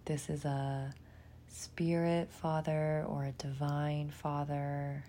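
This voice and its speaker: A woman guides a meditation in a low, mellow voice. She speaks very slowly and gently, with a breathy softness and long pauses between short phrases. A faint low rumble sits behind her. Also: little pitch movement. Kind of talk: guided imagery meditation, slow and soothing.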